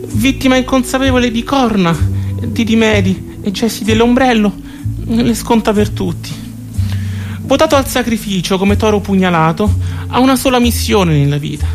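A mocking storyteller in a comic radio play. The delivery is theatrical and emphatic, with pitch that swings widely. Music plays clearly behind the voice. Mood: mock-pitying.

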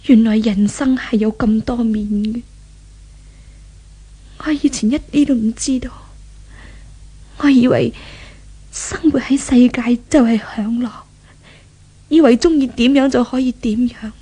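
A high-voiced, husky, tormented young woman. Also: a little slow, long pauses, warm tone. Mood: sorrowful reflection. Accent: Hong Kong Cantonese. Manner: dramatic, breathy monologue with sighs. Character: once-pampered fallen young woman, tormented, weary, remorseful. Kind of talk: 1970s Hong Kong radio drama acting. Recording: 1970s radio drama recording.

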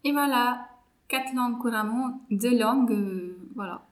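A woman in her twenties from India, a native Marathi speaker, talking in a relaxed, hesitant way with many pauses as she thinks aloud about her studies and her mentoring. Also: a little high. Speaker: psychology master's student and volunteer mentor. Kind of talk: casual interview chat.

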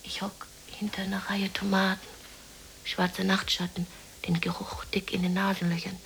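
An actress playing a lonely, depressed, dreamy woman in a radio play monologue, musing haltingly to herself in a breathy half-whisper. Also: medium pitch, completely flat delivery, a bit bright, a little hiss or hum, poor audio. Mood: haunted, remembering.

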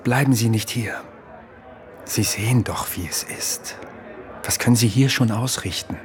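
Actor as smooth, insinuating regime emissary amid chatter. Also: male voice, mid-range voice, measured pace, close to an everyday voice. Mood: urgent, persuasive. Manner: polite, deferential, smoothly persuasive. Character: flattering, insistent male Nazi government emissary, coolly insinuating. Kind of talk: German radio drama acting.

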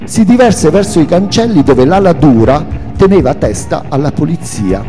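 A man reads a memoir aloud in a theatrical, expressive way. His voice is fairly high and swings widely in pitch, with a somewhat soft, mellow tone. Music plays faintly behind him. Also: normal speed. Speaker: reader of a memoir. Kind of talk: dramatic literary reading aloud with music.

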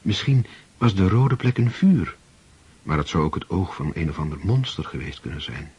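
Deep husky guy narrating a radio play like a storybook, really rough voice, dull old recording. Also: sing-song, normal speed, a little mellow.

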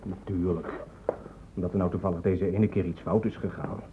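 A man's voice: low voice, fairly even intonation, moderate pace, noisy background, lightly acted. An actor playing a stubborn, defiant young man in a radio play, with a dismissive, sullen manner. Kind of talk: Dutch radio play acting. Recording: muffled old radio play recording.

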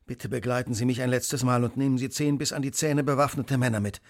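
An actor playing an incisive, self-assured detective in a German radio drama, eloquent and commanding, moving between hushed and emphatic delivery. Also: male voice, mid-range voice, fairly even intonation, fast talker, no room sound, studio quality, natural voice. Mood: grave, urgent.

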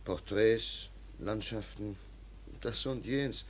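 A man's voice: fairly low pitch, takes it slowly, slight background noise. A man acting in a radio drama as a polite, resigned and frustrated struggling artist. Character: resigned, frustrated, polite painter turned hack writer.

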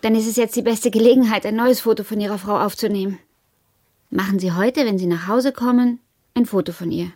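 An actress playing an earnest, probing wife with memory loss in a modern radio drama, speaking in measured, matter-of-fact, formal sentences. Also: female voice, medium pitch, dark tone, no room sound, clean studio sound, close to an everyday voice. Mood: calm, probing. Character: amnesiac wife and job seeker, earnest, probing, persistent.

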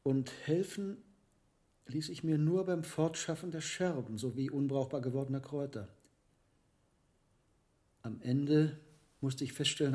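Male actor as learned monk herbalist, thoughtful and hesitant, soft mellow tone, radio play. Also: medium pitch, lightly acted. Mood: troubled recounting. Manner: deliberate, musing, with reflective pauses. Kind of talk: German radio drama acting.

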